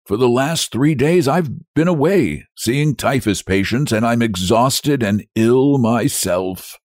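A man's voice: mid-range voice, expressive, slow pace, a little mellow, no echo, studio quality, voice pushed up. A man playing an exhausted, feverish country doctor in a storybook reading, reluctant, grumbling and hesitant. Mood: weary, protesting. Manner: muttering, weary, hesitant, grumbling.

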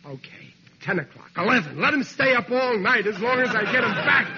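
A man's voice: very high-pitched, expressive, fairly quick, pushed and bright, husky, full character voice. An actor playing an exasperated, bumbling middle-aged working-class father, blustering and pleading in an old radio sitcom. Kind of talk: 1940s-50s radio sitcom acting. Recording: noisy dull old radio recording.

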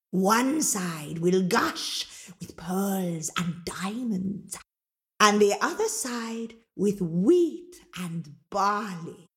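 A woman voicing a hulking old ogress in a storybook tale, with a gruff, drawn-out, growling delivery. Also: mid-range voice, heavily acted.

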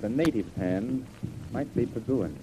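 A man's voice: mid-range voice, animated, unhurried, close to an everyday voice. A man playing a grave, wary major on a secret mission, in old radio adventure serial style. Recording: noisy old radio recording.

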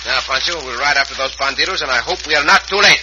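An actor playing a bold, gallant Mexican cowboy hero with a Mexican accent and formal, decisive speech in an old-time radio western. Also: male voice, medium pitch, fast, lightly acted. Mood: urgent. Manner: formal, decisive, few contractions. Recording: muffled, noisy vintage radio recording.

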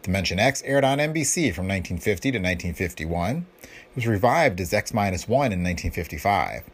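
A man hosts a podcast, reading a scripted introduction and announcements. His voice sits fairly low and moves with lively, varied intonation. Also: a little fast, breathy edge. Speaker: podcast host.

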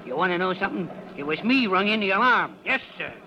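An actor playing a shifty, nervous man suspected of arson, whining and pleading in rough street slang in an old radio drama. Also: high voice, expressive, quick pace, slight background noise. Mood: defensive. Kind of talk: 1940s radio acting. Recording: dull lo-fi old radio recording.

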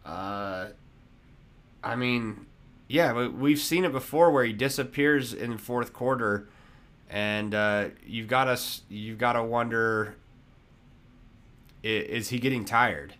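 Slow easygoing male sports podcaster. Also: medium pitch, a bit bright. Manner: slow, easygoing, with many fillers. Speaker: podcast host. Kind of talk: casual postgame fan talk.

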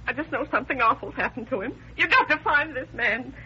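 A woman's voice: high-pitched, animated, fast, pushed and bright, slightly rough, heavily acted. An actress playing an anxious, insistent middle-aged mother in an old-time radio crime drama. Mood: agitated, insistent. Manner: fretful, insistent, emotional. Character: anxious, determined mother fearing for her missing son. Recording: muffled, noisy old radio recording.

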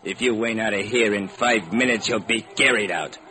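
A man's voice: on the low side, normal speed, bright, forceful tone. A man voicing a curt, tough, menacing American bouncer in an old-time radio crime drama. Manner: terse underworld tough talk. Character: curt, menacing male gambling-house bouncer. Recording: noisy old radio recording.

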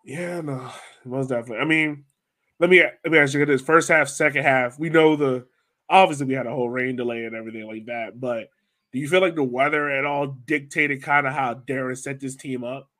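A man's voice: mid-range voice, steady tone, brisk, bright, forceful tone. A man talking casually as a soccer podcast host, informal and thinking aloud as he goes. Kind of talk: casual soccer post-match discussion.

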